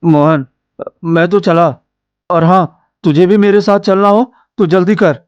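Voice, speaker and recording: A man voicing an eager, easily frightened young village man who talks in a pleading, excitable way, with background music. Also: high-pitched, measured pace, smooth, dry recording, lo-fi sound. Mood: scared.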